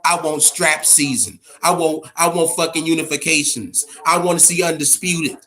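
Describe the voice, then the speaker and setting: Higher-voiced American man talking boxing, emphatic and profane. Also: steady tone, moderate pace, pushed and bright. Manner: profane, slangy, emphatic, repeats phrases. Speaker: boxing show host. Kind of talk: casual, opinionated boxing commentary chat.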